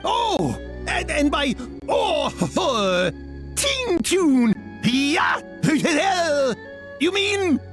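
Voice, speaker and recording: A man's voice: very high voice, very animated, very slow, fairly bright tone, faint music behind, full character voice. A man voicing a boastful, cheerful tanuki yokai trickster in a video game, with a blustering manner. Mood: excited. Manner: blustering, self-excusing. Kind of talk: animated video game acting.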